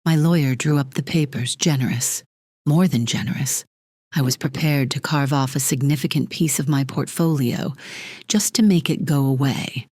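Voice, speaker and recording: Audio drama lead, female actor: imperious, controlling woman executive, clipped and measured delivery, low breathy register. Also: darkish tone, dry recording, studio quality. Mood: unsettled, analytical. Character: cold, controlling female corporate executive, divorced, armor cracking.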